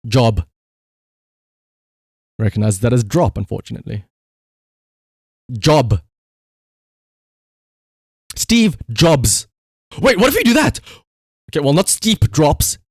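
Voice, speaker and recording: A man with a South African accent commentating on a live gaming stream and calling out voice commands in an excitable way. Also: medium pitch, slow, pauses often, slightly rough, no room sound, studio quality. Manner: animated, excitable, exclamatory. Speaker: livestreamer.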